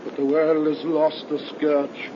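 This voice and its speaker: An actor playing a stern, relentless vampire-hunting doctor in a grave, theatrical 1930s radio horror play. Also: male voice, fairly high pitch, level tone, takes it slowly. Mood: grim, solemn. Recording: dull, noisy 1930s radio recording.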